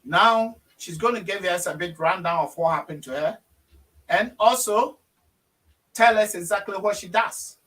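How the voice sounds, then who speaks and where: A Ghanaian man hosts a relaxed online interview in a bright, fairly high voice. He talks unhurriedly, pausing often with fillers. He sits a little back from the microphone. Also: far from the mic. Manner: warm, slow, hesitant with many fillers. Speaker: host. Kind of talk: casual video interview.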